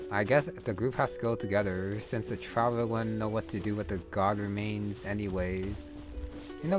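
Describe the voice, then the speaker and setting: Low-voiced man musing over gameplay. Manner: musing, thinking aloud. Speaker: gaming channel host. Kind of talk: casual gameplay commentary.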